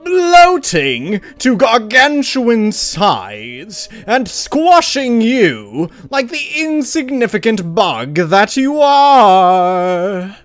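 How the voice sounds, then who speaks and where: A man voicing a pompous, villainous gentleman frog from a video game, theatrical and grandiose. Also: high-pitched, huge pitch swings, no echo, soft background music, pitched above the performer's own voice, full character voice. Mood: mocking menace. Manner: theatrical, drawn-out, mock-apologetic.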